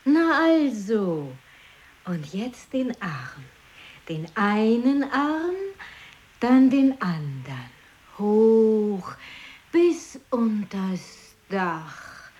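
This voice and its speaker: An actress playing a bossy woman who inspects and gives orders deliberately in an experimental radio play. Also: medium pitch, very animated, darkish tone, lo-fi sound. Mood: commanding, probing. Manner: commanding, slow, deliberate phrasing.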